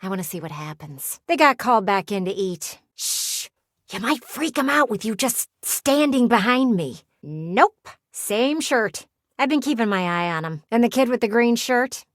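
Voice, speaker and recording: A woman's voice: a little high, lively intonation, moderate pace, dry recording, natural voice. An actress voicing a talking window in a video game, a flirty yet wistful female people-watcher with a folksy, teasing, theatrical drawl. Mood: gossipy, wistful. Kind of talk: video game character voice acting. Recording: close, dry studio recording.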